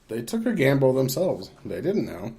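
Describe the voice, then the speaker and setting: A man co-hosting a podcast, talking through his opinions on films casually, thinking aloud in a measured way. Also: medium pitch, huge pitch swings, warm tone. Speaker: podcast co-host. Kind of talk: casual film discussion.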